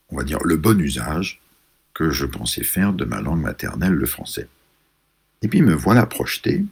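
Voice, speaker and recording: A man from France, an author, telling a reflective personal story in a measured, pausing way, searching for his words. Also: extremely low pitch, vocal fry, slightly rough.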